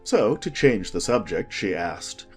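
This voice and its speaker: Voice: low-pitched, sing-song, measured pace, soft background music. An audiobook narrator reading a scripted children's story.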